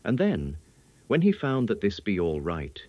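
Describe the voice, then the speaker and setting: A man performs a learned old professor from a gothic audiobook. He lectures gravely and earnestly in quaint phrasing, his pitch rising and falling widely. The sound is dry and slightly dull. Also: huge pitch swings, unhurried, no echo, heavily acted. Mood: patient, explanatory. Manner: emphatic lecturing in quaint, oddly ordered English. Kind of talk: audiobook character acting.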